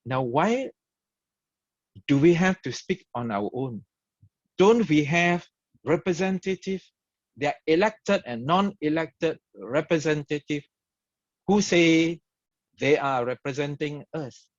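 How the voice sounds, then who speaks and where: A man with a Malaysian English accent delivers an opening address in short, emphatic, repeated phrases. He speaks slowly, pausing between each point. The sound is dry and close, with dull, lo-fi livestream quality. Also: a little high, animated, dry recording. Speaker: event host and organizer. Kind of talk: opening speech at an online event.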